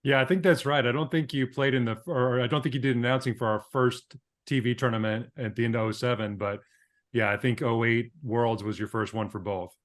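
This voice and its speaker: A man's voice: medium pitch, steady tone, fairly quick. A man hosting a podcast, chatting casually with a guest. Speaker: podcast host. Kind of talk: casual conversational interviewing.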